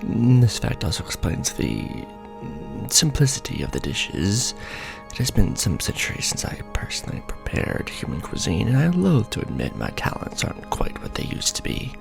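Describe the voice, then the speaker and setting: A man's voice: fairly low pitch, wildly swooping pitch, moderate pace, creaky, over music, full character voice. A man playing an ancient, pompous, scheming vampire lord in a theatrical, courtly ASMR roleplay monologue. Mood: gracious, persuasive.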